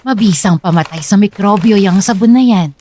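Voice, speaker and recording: A woman's voice: mid-range voice, brisk, dark tone, slight background noise, close to an everyday voice. An actress playing a practical, fussy middle-aged mother in a Filipino radio drama. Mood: brisk, practical.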